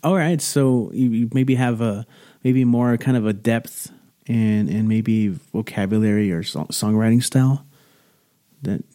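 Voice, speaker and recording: A man's voice: lowish voice, unhurried, warm tone. A man hosting a podcast interview, chatting casually, rambling through his questions, restarting them and slipping in jokes. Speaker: podcast host.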